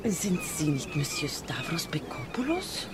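An actress playing a vivacious, forthright young lady in a German radio drama, expressive and polite. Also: extremely high pitch, a little slow, noisy background, full character voice. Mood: surprised. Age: young adult. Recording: music clearly behind the voice.

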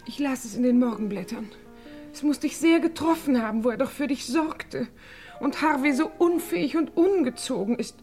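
An actress playing a gushing, affected society sweetheart in a melodramatic German radio crime play. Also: female voice, sits high, normal speed, darkish tone, soft background music. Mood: gushing sympathy.

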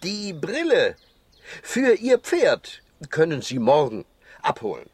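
Kindly animal doctor, fairly high voice, hugely varied intonation, witty and patient, children's radio play. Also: male voice, slow, slightly rough, dry recording, heavily acted. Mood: reassuring. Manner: expressive, rhyming.

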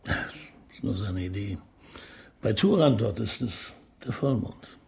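An actor playing a polite, opera-loving police detective in a radio play, musing and probing gently in a roundabout way. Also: male voice, on the low side, expressive, a little slow, vocal fry. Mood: quietly probing. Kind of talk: naturalistic radio drama acting.

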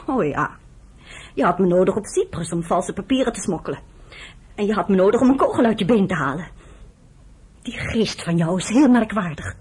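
A woman's voice: medium pitch, expressive, normal speed, pauses often, a little mellow, breathy edge. An actress playing a weary, bitter woman who nurses the man she loves, in a reproachful, emotional radio drama style. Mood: bitter, hurt.

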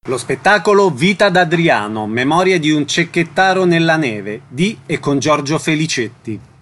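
A man's voice: on the high side, animated, moderate pace, a bit bright. A man presenting a radio programme, reading a scripted announcement.

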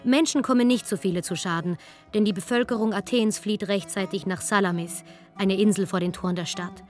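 A woman narrates a radio play at a medium pitch. Her delivery is wry and conversational, scripted yet casual, with music faintly behind her. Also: a little fast, a little mellow. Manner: dry, conversational, tongue-in-cheek.

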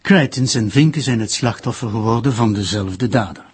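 Reserved official for TV crime drama, formal, mid-range, somewhat husky. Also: male voice, medium pitch, moderate pace. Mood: measured, discreet.

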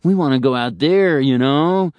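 A man doing a loud, jovial male roadie. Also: a little high, moderate pace, no room sound, pitched above the performer's own voice, lightly acted. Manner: breezy, loud, casual.

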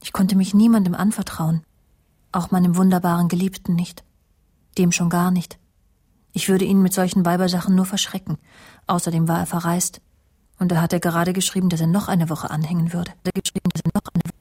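Eine Schauspielerin mit rauchiger Stimme spielt in einem Krimi-Hörspiel eine stolze, scharfzüngige Fernsehmoderatorin, die trocken und sardonisch ihre Geschichte erzählt. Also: female voice, fairly low pitch, little pitch movement, brisk, a little mellow, breathy edge. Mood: troubled, reflective. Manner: dry, sardonic, self-assured. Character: proud, sharp-tongued woman TV presenter narrating her story. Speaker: actress, lead and narrator in radio play. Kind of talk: German radio crime drama, intimate first-person narration and dialogue.

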